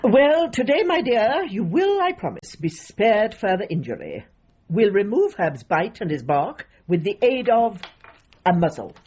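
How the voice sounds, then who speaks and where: An actress playing a brisk, bossy, encouraging dog trainer with an emphatic, rallying manner in a gently comic radio drama. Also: female voice, medium pitch, sing-song, husky, lo-fi sound. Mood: instructive. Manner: emphatic, rallying, fond of "my dear".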